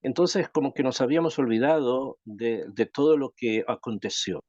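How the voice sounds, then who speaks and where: A man from Chile, a rabbi teaching a Torah class, reflective and thoughtful, asking rhetorical questions and pausing to think. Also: mid-range voice, a little mellow, no echo, muffled recording. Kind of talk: Torah lesson.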